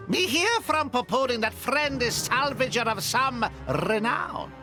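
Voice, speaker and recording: A man's voice: extremely high pitch, pushed and bright, soft background music, full character voice. An actor voicing a pompous creature chairman who speaks in broken, grandiose phrases. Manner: broken grammar, grandiose, slow. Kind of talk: video game voice acting.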